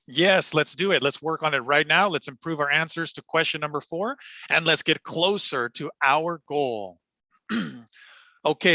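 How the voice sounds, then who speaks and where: Bright-voiced upbeat male coach. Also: on the high side, no room sound. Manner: upbeat and encouraging, clear deliberate delivery. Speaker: TOEFL speaking coach. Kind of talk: livestream practice session, thinking aloud and modeling exam answers.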